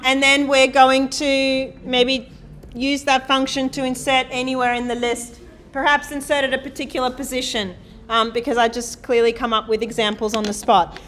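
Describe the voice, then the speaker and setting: A woman giving a live coding lecture, talking loudly and quizzing the class as she types. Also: high-pitched, slight background noise. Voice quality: loud voice. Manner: chatty, asks the class questions, thinks aloud while typing. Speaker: university programming lecturer. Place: lecture hall.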